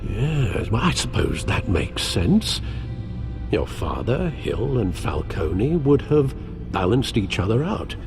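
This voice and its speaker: A loyal older butler with a fairly low voice and a dry, wry manner. He speaks in measured, composed lines. A loud game score runs underneath. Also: sing-song, creaky, full character voice. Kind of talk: video game voice acting. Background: music.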